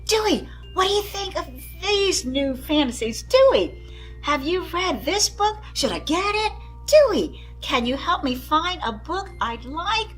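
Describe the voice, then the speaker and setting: Lady doing clamoring little school kids, super high n bouncy, exclaiming, storybook style. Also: big pitch swings, a little slow, a bit bright, faint music behind, full character voice, rough recording. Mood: pestering. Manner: exclaiming, pestering. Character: excited, clamoring young schoolchildren.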